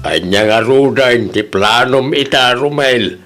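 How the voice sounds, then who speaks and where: A man's voice: mid-range voice, a little slow, music in the background, lightly acted. A man acting a resigned, admonishing middle-aged father with a Filipino accent in a family audio drama. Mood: resigned, scolding. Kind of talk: Ilocano radio-style family drama acting.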